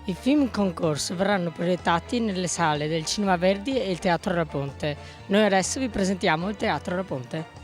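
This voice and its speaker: A woman's voice: lowish voice, moderate pace. A woman working as a newsreel reporter, delivering scripted questions and links. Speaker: young festival newsreel reporter. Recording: music playing behind the voice.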